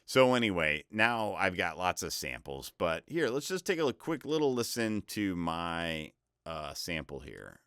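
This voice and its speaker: Deep-voiced man rambling through a tutorial. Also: very animated, a little slow, a bit bright, clear, resonant tone, dry recording, clean studio sound. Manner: rambling, self-interrupting, upbeat advice. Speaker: voice-over freelancer teaching online selling. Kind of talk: casual screen-share tutorial.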